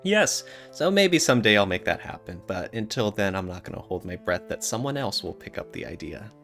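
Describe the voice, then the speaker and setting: A man's voice: fairly low pitch, very animated, a little fast, creaky, a bit bright. A man hosting a podcast in a casual, playful, joking way. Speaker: podcast host. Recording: music behind the talk. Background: background music.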